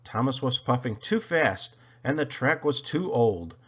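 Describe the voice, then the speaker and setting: A man narrates a children's picture book as a read-aloud. His delivery is expressive and animated, with pitch that moves widely from phrase to phrase. The recording sounds somewhat dull and lo-fi. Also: a little slow, breathy edge. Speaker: storybook reader.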